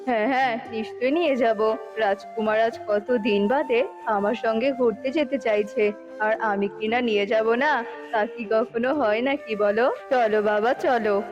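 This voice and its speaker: A woman's voice: fairly high pitch, fairly even intonation, a little slow, muffled recording, over music, natural voice. A woman voicing an emphatic father bird, a stubborn king in a cartoon fairy tale. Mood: cheerful, dismissive.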